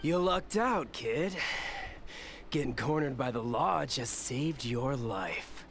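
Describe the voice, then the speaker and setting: Lively, self-assured male officer. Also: medium pitch, slow, soft background music. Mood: smug, tough. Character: easygoing male officer who enjoys playing tough villains. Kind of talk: scripted video game voice acting.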